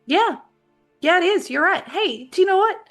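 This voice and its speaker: A woman's voice: extremely high pitch, animated, normal speed, soft background music. A woman roleplaying a tabletop character in an earnest, slightly unsure way. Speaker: player voicing B, a drow.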